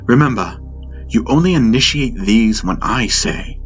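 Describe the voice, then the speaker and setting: A man's voice: mid-range voice, lively intonation, lo-fi sound, soft background music, voice pushed up, natural voice. Cool, guarded space-crew woman, voiced by a man. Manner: cryptic, measured. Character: cool, guarded woman tech expert aboard a starship.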